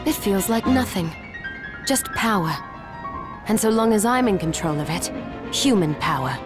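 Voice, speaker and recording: A woman playing a resolute, composed young heroine in a video game, speaking in a measured, earnest way. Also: mid-range voice, expressive, natural voice. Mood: defiant. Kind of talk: video game character acting. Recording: music behind the voice.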